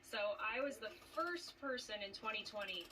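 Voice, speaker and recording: A woman with a bright, forward voice makes a casual, halting announcement as a trivia competitor. She sounds distant from the mic, with some faint noise around her. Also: medium pitch, expressive. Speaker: returning trivia competitor issuing a challenge.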